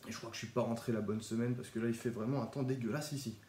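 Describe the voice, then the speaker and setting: A young man with a cold talks in a relaxed, chatty way, showing his graded trading cards in a casual vlog. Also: medium pitch, racing, reverberant, a little hiss or hum. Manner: relaxed, chatty, with small restarts. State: has a cold, stuffy. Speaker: Pokémon card collector YouTuber. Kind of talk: casual card showcase vlog.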